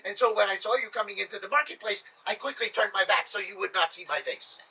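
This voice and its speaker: A man's voice: high voice, fast, sharp tone, husky, exaggerated voice. A man voicing a cunning male trickster who pleads in flowery, mock-humble words, recorded from a distance. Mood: pleading.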